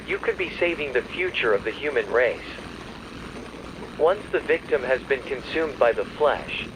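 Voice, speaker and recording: A man delivering a scripted emergency broadcast warning in a formal, measured, official announcer's manner. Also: medium pitch, noisy background. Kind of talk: scripted emergency alert narration.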